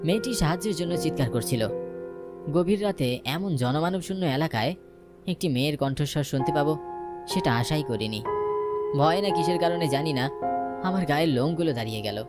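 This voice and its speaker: A man's voice: fairly high pitch, lively intonation, a little fast, long pauses. A man narrating a scripted first-person ghost story as a dramatic storyteller. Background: music.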